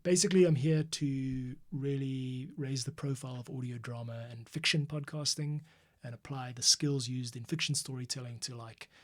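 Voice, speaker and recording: A man's voice: mid-range voice, fairly even intonation, moderate pace, few pauses. A man giving a casual editing tutorial, muttering to himself in short bursts and thinking aloud as he works. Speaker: podcast editor and host. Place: at a computer workstation.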